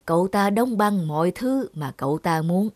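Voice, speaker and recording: Low-pitched kindly old grandmother. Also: animated, normal speed, no room sound, studio quality. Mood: calm, reassuring. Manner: gentle, reassuring. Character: kindly old village grandmother, calm storyteller. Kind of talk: children's cartoon voice acting.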